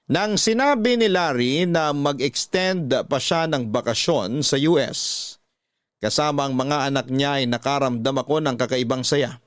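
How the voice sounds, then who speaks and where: A man's voice: on the high side, no echo, clean studio sound. A man with a Filipino accent narrating a scripted first-person story as host of a radio drama.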